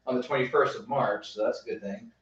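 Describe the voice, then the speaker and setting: An American man from West Texas talks casually at a middle pitch, telling anecdotes and checking in with "you know". He sounds far from the microphone in a very echoey space, with a dull, muffled recording. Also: fairly even intonation, fast, fairly bright tone. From West Texas, United States. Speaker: home brewer co-hosting a brew day. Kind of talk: casual chat.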